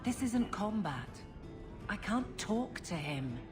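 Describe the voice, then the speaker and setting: A woman's voice: medium pitch, big pitch swings, slow pace. An actress playing an awkward, self-doubting guardswoman in a video game, blunt and self-deprecating. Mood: flustered. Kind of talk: dramatic video game dialogue. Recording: music behind the voice.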